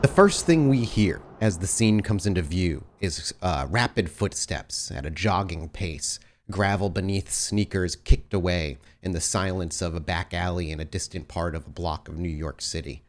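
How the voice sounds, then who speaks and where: A man with a low voice runs a tabletop game as its storyteller. He describes each scene in measured, atmospheric detail. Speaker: game master.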